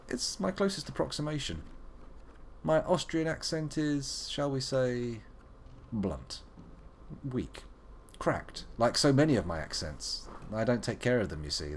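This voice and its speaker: A young man commenting on a game he is playing live. His voice bounces lively between high and low as he jokes and mutters to himself, and a faint hum of room noise sits behind him. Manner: playful, joking, muttering asides. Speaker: streamer playing a video game.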